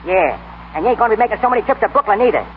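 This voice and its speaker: Bossy streetwise new fight manager, talks quick, tinny scratchy 30s radio sound. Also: male voice, high voice, lively intonation. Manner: slangy, streetwise, bossy, ungrammatical. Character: cocky, tough-talking fight manager in love. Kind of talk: 1930s radio acting.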